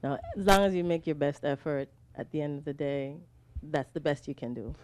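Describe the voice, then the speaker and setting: A woman's voice: low voice, brisk, darkish tone. Composed woman with a light Jamaican accent. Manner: brief, composed, dryly witty replies. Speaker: regional cricket director, booth guest. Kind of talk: interview answers. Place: commentary booth at a cricket ground.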